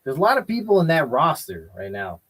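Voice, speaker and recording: A man's voice: fairly high pitch, huge pitch swings, a little fast, fairly bright tone, husky. A man hosting a casual wrestling chat show, giving blunt, opinionated takes and often hedging with "I feel like". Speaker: podcast host.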